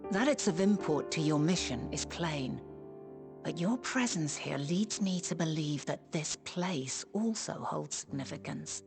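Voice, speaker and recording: A woman playing a thoughtful, resolute figure of an ancient people in a video game cutscene, speaking formally and deliberately. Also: low voice, expressive, vocal fry, full character voice. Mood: pensive, questioning. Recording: loud music under the voice.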